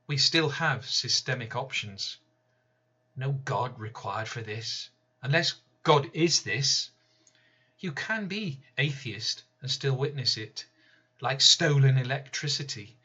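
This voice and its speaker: A man reading his own poem aloud in a slow, deliberate, measured way. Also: medium pitch, pushed and bright, slightly rough, lo-fi sound. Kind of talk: spoken-word poetry reading.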